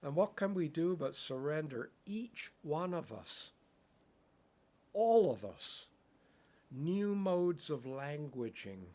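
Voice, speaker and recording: A man's voice: mid-range voice, a little mellow. A man teaching in a contemplative dharma talk. He speaks in poetic phrases and often checks in with "right?". English is his first language. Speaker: Buddhist meditation teacher.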